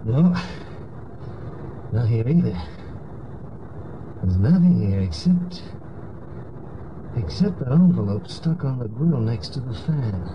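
An actor playing a glib, wisecracking private investigator in a 1960s radio drama. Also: male voice, mid-range voice, animated, takes it slowly, pauses often, lo-fi sound. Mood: weary, puzzled. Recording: noisy old radio drama recording.

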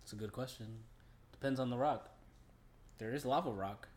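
A man's voice: medium pitch, normal speed, roomy sound. A young man co-hosting a podcast, chatting casually in agreeable, slangy speech. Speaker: podcast co-host. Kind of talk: casual chat about geek movies.

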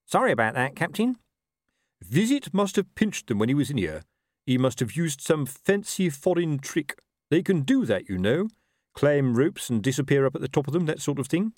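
Man doing a high, wheedling little watchman. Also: sing-song, normal speed, darkish tone, dry recording, studio quality. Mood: eager, wheedling. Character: cheeky, servile low-ranking watchman, male, eager and wheedling. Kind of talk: audiobook character voice.

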